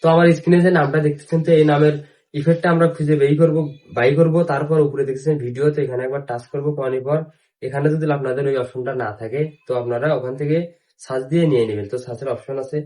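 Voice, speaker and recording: A man's voice: mid-range voice, fairly even intonation, very fast, dark tone. A man hosting a casual step-by-step app tutorial, with repetitive, instructional phrasing. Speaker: tutorial host.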